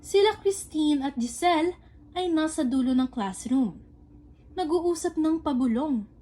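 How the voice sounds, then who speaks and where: A woman's voice: high voice, lively intonation, measured pace, dark tone. A young woman reading scripted narration for a student-style radio drama.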